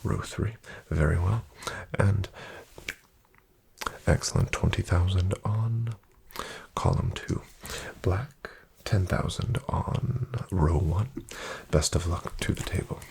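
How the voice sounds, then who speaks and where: A man doing a soft-spoken ASMR roleplay as a courteous casino dealer, breathy and often near a whisper, in formal, measured patter. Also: low-pitched, big pitch swings, a little hiss or hum. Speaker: ASMR performer playing a casino card dealer. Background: card shuffling and clicks.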